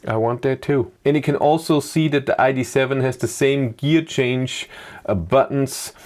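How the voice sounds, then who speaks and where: Middle-aged German-accented vlogger, talks loosely with many "uh"s. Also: male voice, medium pitch, moderate pace, darkish tone. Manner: thinking aloud with fillers and restarts. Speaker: YouTube car reviewer. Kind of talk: casual commentary over slides. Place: small dim indoor room.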